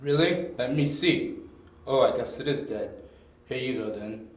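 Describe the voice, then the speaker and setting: A man playing a gruff, dim, menacing gang boss in an amateur audio drama, giving terse orders. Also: mid-range voice, expressive, a little slow, close to an everyday voice. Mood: bossy. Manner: terse, commanding. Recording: very echoey, distant from mic.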